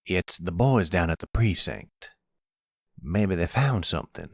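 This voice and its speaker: A man's voice: low voice, big pitch swings, measured pace, vocal fry, dry recording, exaggerated voice. A man playing a middle-aged mafia boss in a roleplay audio drama, commanding and clipped yet affectionate. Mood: firm, expectant.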